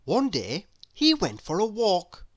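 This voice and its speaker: A woman reading a children's story aloud in an expressive, deliberate storytelling manner. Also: mid-range voice, dry recording.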